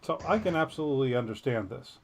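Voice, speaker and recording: A man's voice: medium pitch, animated, quick pace, a little hiss or hum. A man co-hosting a podcast, reading a news story aloud and breaking off into jokey, self-deprecating tangents. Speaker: podcast co-host.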